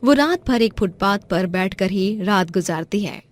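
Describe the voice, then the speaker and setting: A woman's voice: medium pitch, lively intonation, measured pace. A woman narrator reading a scripted moral story.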